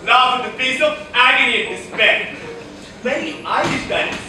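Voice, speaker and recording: A man's voice: very high voice, expressive, moderate pace, crowd noise. A young man acting a genial, theatrical doctor with jovial patter in an amateur stage comedy. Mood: breezy, amused. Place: college auditorium stage, recorded from afar. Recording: echoey auditorium, distant mic, noisy.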